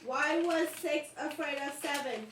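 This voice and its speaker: A woman's voice: high-pitched, a little slow, fairly bright tone. A young woman trading corny jokes at a puppet show who is playful and giggly and fumbles her punchlines. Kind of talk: casual joke swapping. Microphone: far camera. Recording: roomy home video from a far camera with clear noise.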